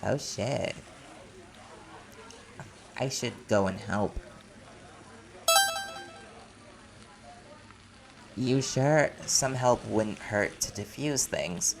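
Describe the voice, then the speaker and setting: A man playing an easygoing, helpful young-sounding guest with a creaky voice in an audio drama. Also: fairly low pitch, takes it slowly, pauses often. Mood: concerned. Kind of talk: audio roleplay drama acting.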